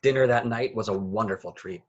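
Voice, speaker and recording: A man's voice: lowish voice, a little fast, highs cut off. A man reading a children's picture book aloud with rhythmic, sing-song phrasing. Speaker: children's story reader.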